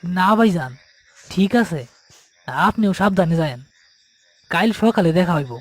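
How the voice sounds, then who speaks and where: A man's voice: sits high, animated, measured pace, slightly rough, dry recording, exaggerated voice. A man playing a respectful, uneasy younger villager in an audio horror drama, speaking colloquially with a Bangladeshi accent. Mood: reassuring. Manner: colloquial, deferential.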